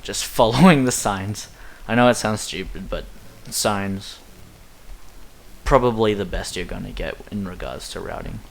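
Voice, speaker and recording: A man's voice: lowish voice, a little slow, creaky, poor audio. A young Australian man with an Australian accent giving chatty, rambling commentary while speedrunning a game. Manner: chatty, self-deprecating, rambling asides. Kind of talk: casual commentary over gameplay.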